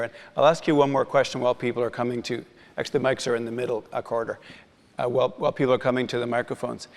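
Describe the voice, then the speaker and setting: A man moderating a conference session, courteous and organized, sometimes rephrasing himself mid-sentence. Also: mid-range voice. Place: large conference hall with audience. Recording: long reverb of a large hall.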